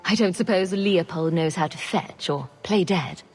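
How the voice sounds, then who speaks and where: An actress playing a self-assured, sarcastic champion in a video game, with dry, deadpan delivery. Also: female voice, lowish voice, moderate pace, faint music behind, natural voice. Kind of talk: video game cutscene acting.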